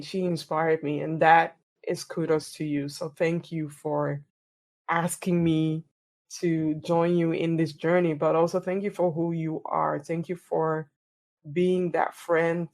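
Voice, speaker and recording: Lady on a podcast, lowish voice, earnest and thoughtful, repeats herself a bit. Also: vocal fry. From Netherlands. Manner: warm, earnest, repeats words while thinking. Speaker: podcast host and DEI coach. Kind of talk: reflective conversational interview answers.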